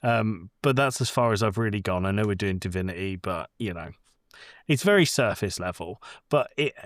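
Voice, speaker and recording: A man with a fairly low voice talks casually as a podcast co-host. He is blunt and self-aware in his opinions, and the sound is very dry, with no room on it. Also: animated, normal speed, dry recording. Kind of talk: casual movie discussion.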